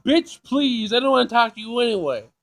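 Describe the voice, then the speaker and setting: A man doing casual playthrough commentary, reading game text aloud, jokey and thinking out loud as he repeats himself. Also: extremely high pitch, lively intonation, brisk. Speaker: gaming video host.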